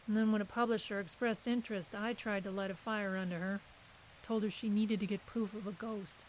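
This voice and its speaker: A woman's voice: mid-range voice, steady tone, fairly quick, natural voice, poor audio. A woman playing a guarded, brusque literary agent in an audio drama, with a dry, curt manner. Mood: dry, reflective. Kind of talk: naturalistic audio drama acting. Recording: clearly hissy recording.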